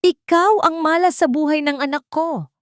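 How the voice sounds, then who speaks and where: A woman's voice: high voice, moderate pace, dry recording, studio quality, voice pushed up, close to an everyday voice. A woman voicing a cruel, domineering rich older mother-in-law in an audiobook drama, scolding and threatening with haughty venom. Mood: enraged.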